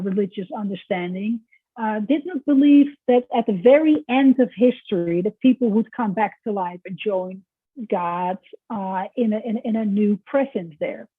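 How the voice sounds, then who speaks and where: A middle-aged woman from the Netherlands with a Dutch accent, giving a recorded lecture in an explanatory, conversational way with filler pauses. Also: on the high side, breathy edge, dry recording, phone-quality sound.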